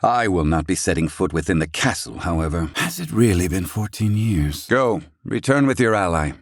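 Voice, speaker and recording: A man acting a proud, gruff, middle-aged inventor in a fantasy video game, with formal, haughty, theatrical diction. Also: deep voice, expressive, moderate pace, dry recording, clean studio sound. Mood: grave, aloof.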